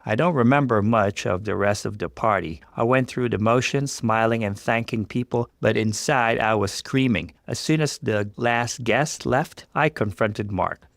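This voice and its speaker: A man with a fairly low, gravelly voice reads a scripted first-person story as its narrator. His delivery is dramatic. The recording is tight and dry, with no room sound. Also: moderate pace, few pauses, a bit bright, dry recording.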